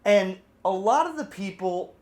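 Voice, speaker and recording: A man hosting a casual, off-the-cuff product review, chatty and informal, with animated, emphatic asides. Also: high-pitched, a little slow. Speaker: video host, radio hobbyist reviewer.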